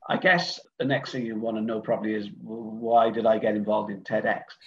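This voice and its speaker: A man's voice: lowish voice, fairly quick. A middle-aged Welsh man from Cardiff chatting casually as a podcast guest, rambling with lots of ums, uhs and restarts. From Wales. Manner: rambling, hesitant, many fillers and restarts. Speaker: guest, event organiser. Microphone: remote online call. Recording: dull lo-fi online call audio.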